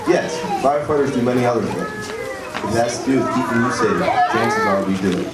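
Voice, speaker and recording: A man voicing a calm firefighter puppet who gives plain, authoritative advice in a children's safety show. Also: mid-range voice, fairly quick, very noisy, lightly acted. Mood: calm, instructive. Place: live show in a room, recorded from the audience. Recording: echoey distant live show with crowd.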